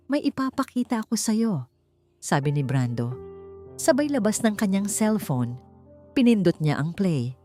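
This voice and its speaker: Female audiobook narrator, lower register, dramatic and suspenseful, deliberate phrasing, soft music bed. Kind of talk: dramatic scripted story narration.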